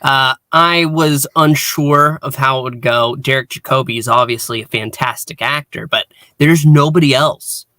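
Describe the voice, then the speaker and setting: A man in a casual fan discussion, speaking earnestly and emphatically with halting pauses. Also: medium pitch, pushed and bright, dry recording. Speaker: podcast panelist.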